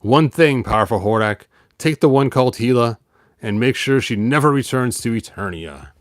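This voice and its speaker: A man voicing a haughty, jealous villain sorceress in a storybook, bold and deliberate as she bargains. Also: medium pitch, animated, no room sound, studio quality, lightly acted. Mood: scheming.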